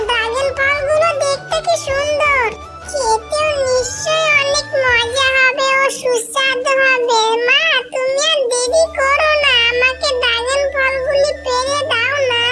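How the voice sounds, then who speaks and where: A woman's voice: very high-pitched, steady tone, a little slow. A woman voicing a childlike, plaintive little jackal daughter in a cartoon, pleading as she talks. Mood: eager, amazed. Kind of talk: cartoon voice acting. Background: loud music.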